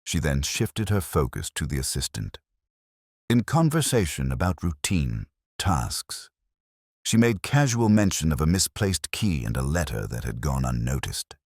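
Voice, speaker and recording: Very deep-voiced male mystery narrator. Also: big pitch swings, unhurried, a little mellow, no echo, clean studio sound. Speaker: audiobook narrator. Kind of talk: scripted mystery story narration.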